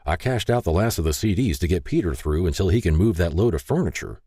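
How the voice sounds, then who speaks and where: A man voicing a gruff, folksy older small-town husband who grumbles, in an audiobook. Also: sits low, lively intonation, fast talker, warm tone, no echo, clean studio sound. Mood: grumbling.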